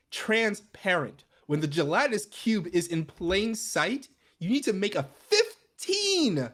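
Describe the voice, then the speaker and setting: An animated podcast panelist talking tabletop games with real emphasis. The pitch swings widely from phrase to phrase, and the delivery is informal and excitable. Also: slightly rough. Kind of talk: animated tabletop gaming discussion.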